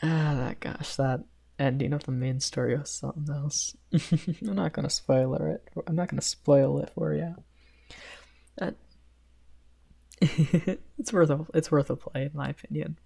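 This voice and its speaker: Woman voicing a shy, giggly, creaky best friend guy. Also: deep voice, fairly even intonation, a little slow, mellow, heavily acted. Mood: earnest rambling. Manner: hesitant, stammering, giggly, apologetic rambling. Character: shy, excitable young male best friend, rambling and giggly. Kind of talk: ASMR roleplay.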